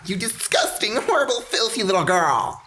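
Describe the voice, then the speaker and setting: Man acting visual novel mother, obsessive, spiteful, doting, lively pitch, faint background noise. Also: normal speed, full character voice. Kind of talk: reading visual novel dialogue aloud.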